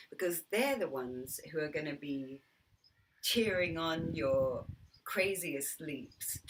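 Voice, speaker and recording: A woman's voice: deep voice, recorded from a distance, slight background noise. A middle-aged woman vlogging in a chatty, reflective monologue, laughing often and dropping into whispered asides. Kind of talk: reflective casual monologue to camera.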